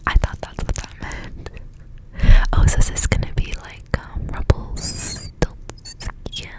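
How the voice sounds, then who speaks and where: A woman whispering as an ASMR host, reading stories aloud with casual asides in a hushed, unvoiced delivery. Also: slow pace, low-quality recording. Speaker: ASMR reader, host of the channel.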